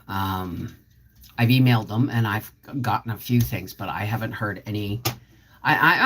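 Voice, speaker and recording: A woman's voice: a bass voice, bright, forceful tone. A middle-aged woman chatting casually on a live stream, rambling and answering viewer comments as she goes. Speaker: homestead channel host.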